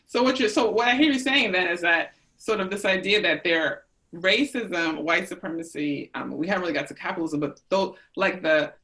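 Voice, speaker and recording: A woman's voice: on the low side, brisk, hardly any pauses, a bit bright. An American woman hosting a thoughtful, casual conversation, working through ideas aloud and often adding tag questions. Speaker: host, interviewer.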